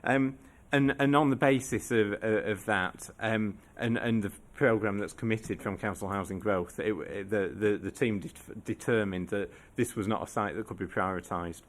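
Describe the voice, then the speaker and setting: Low-voiced man giving a halting officer briefing. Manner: measured, halting, frequent restarts. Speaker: council officer. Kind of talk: formal committee briefing. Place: council committee meeting.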